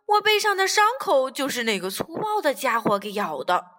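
A woman voicing a gentle, kind and lonely female sea reptile in a children's audio story, speaking softly and kindly. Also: sits high, very animated, moderate pace. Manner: soft, kindly, gently questioning. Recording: reverberant room with music underneath.

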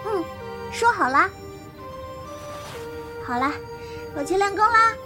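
A woman's voice: very high voice, lively intonation, takes it slowly, full character voice. A woman playing a naive, blunt and devoted young martial arts girl raised by wolves, speaking simply and earnestly in a Chinese period audio drama. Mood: plain, caring. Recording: voice over prominent background music.

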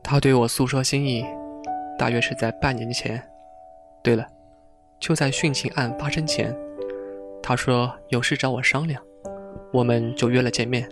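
Actor as earnest, polite gentleman in confessional drama. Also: mid-range voice, fairly even intonation, normal speed, long pauses, over music, lightly acted. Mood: earnest confiding. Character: polite, earnest gentleman confiding an awkward romance. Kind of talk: Mandarin audio drama acting.

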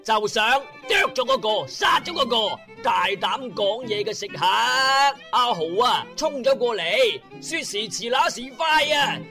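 A man with a Cantonese accent narrating a comic internet radio drama in a theatrical, colloquial storytelling style with drawn-out words. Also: very high-pitched, expressive, sharp tone, faint music behind.

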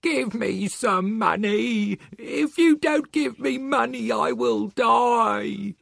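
A man voicing a desperate, hungry old beggar man, gravelly and shouting his pleas. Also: very high-pitched, slow, no echo, clean studio sound, voice pushed up, full character voice. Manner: shouting, begging.

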